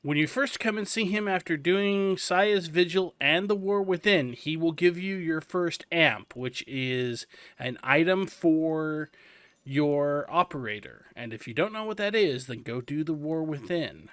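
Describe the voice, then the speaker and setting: A male host giving a casual walkthrough commentary on a video game, explaining each step in a relaxed, drawn-out way. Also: fairly high pitch, no room sound.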